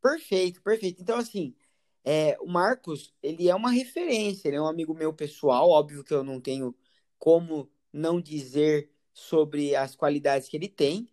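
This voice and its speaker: A man's voice: on the high side, normal speed, a little mellow. Rambling Brazilian male interviewer. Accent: Brazilian Portuguese. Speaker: interviewer, psychologist and English teacher. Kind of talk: conversational interviewing.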